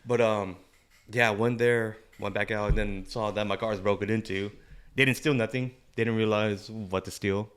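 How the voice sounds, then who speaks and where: A man's voice: fairly low pitch, fairly even intonation, moderate pace, fairly bright tone, clean tone. An American man co-hosting a podcast, talking casually and slangily, often repeating his words. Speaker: podcast co-host. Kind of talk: casual chat between friends.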